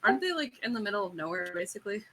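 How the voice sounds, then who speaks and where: A woman's voice: medium pitch, sing-song, racing, pushed and bright, a little hiss or hum. A woman playing a tabletop game, chatting casually with the other players.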